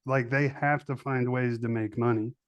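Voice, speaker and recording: A man's voice: mid-range voice, steady tone, normal speed, no room sound, dull audio. A middle-aged man hosting a podcast in casual interview chat, talking enthusiastically and repeating words for emphasis. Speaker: podcast host.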